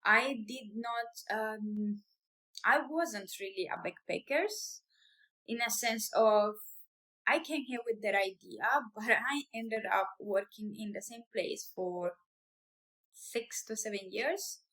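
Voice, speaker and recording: A woman's voice: mid-range voice, slow pace, bright, forceful tone, rough recording. Italian woman, thirties, non-native English, casual and hesitant vlogger. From Italy. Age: 30s. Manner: chatty, hesitant, with fillers and restarts. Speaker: vlogger, business owner. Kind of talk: casual personal vlog.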